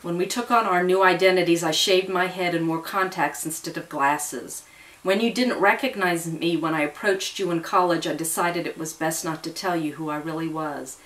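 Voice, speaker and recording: A woman voicing a gentle, apologetic man in a story who speaks softly and earnestly of love. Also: lowish voice, steady tone, moderate pace, roomy sound, recorded from a distance, lightly acted, rough recording. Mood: tender. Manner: soft, earnest.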